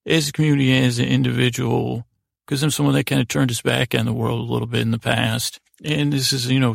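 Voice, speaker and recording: A man hosting a podcast in a casual, unscripted monologue, halting and rambling as he often doubles back mid-sentence, with a gravelly voice. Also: mid-range voice, dry recording, clean studio sound. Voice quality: creaky, gravelly. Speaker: podcast host.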